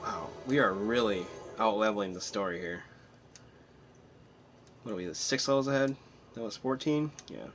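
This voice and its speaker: A man's voice: on the low side, moderate pace, long pauses, a bit bright, faint music behind. A man casually commentating on his live game stream, chatty and self-deprecating, thinking aloud as he plays.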